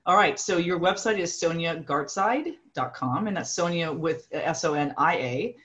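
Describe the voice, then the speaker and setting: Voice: medium pitch, expressive, normal speed, a bit bright. Interview host, chatty, hesitant, filler-heavy, muffled lo-fi audio. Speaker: podcast host. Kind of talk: podcast interview conversation.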